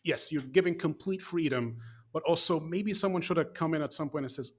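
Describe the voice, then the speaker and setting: A man's voice: fairly high pitch, a little fast, slightly rough. A man co-hosting a gaming podcast, giving opinionated, rambling takes with lots of fillers and restarts. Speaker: podcast co-host. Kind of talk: casual chat.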